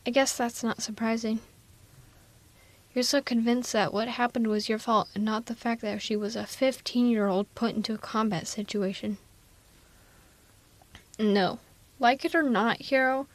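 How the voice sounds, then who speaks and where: Woman playing a female supervillain, slightly high pitch, very dry sound, wry, protective, reassuring, conversational ASMR roleplay. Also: no echo, natural voice. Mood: gentle, teasing. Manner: casual, wry, reassuring, exasperated. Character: female supervillain nemesis, blunt, protective, gently caring.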